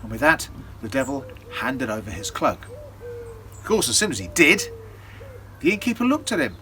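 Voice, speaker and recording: A man telling a folktale as a storyteller, in a theatrical, deliberate way with dramatic pauses. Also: mid-range voice, wildly swooping pitch, pushed and bright, a little hiss or hum.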